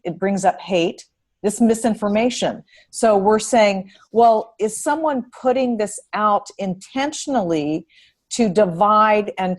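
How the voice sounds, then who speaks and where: A woman speaking on a panel, explaining deliberately and using repetition for emphasis in unscripted talk. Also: mid-range voice.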